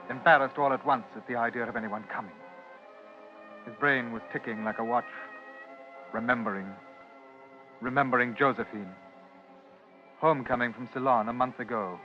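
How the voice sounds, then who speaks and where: A man narrating a vintage radio suspense drama as a brooding British storyteller, with deliberate, poetic phrasing. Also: mid-range voice, close to an everyday voice. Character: brooding, literary male storyteller of a suspense tale. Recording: dull vintage radio recording. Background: music.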